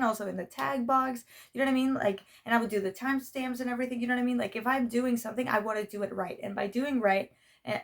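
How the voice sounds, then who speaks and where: Young woman vlogger, slightly raspy, higher voice. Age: young adult. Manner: rambling, self-interrupting, frequent check-in tags. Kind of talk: casual rambling vlog chat.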